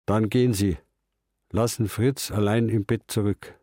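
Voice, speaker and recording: Bitter old man in a radio play, slow n terse, grumbly, bone dry sound. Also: lowish voice, steady tone, warm tone, dry recording. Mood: resigned. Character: bitter, stubborn old man after a stroke, self-narrating. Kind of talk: stylised stage-drama narration and dialogue.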